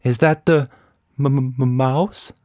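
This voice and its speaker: A man's voice: mid-range voice, steady tone, takes it slowly, a little mellow, smooth, no room sound, studio quality, pitched above the performer's own voice, natural voice. A man voicing a curious, plucky young girl in a children's adventure story, with a questioning, exclaiming manner. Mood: nervous.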